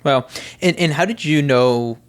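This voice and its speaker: A man hosting a podcast, asking interview questions in a relaxed, hesitant way with fillers. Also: on the high side, measured pace, clean tone. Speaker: podcast host.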